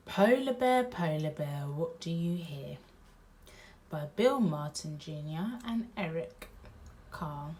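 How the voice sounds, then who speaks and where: A woman reading a picture book aloud to small children in an expressive, sing-song, drawn-out way. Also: sits low, a little hiss or hum. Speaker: children's read-aloud host.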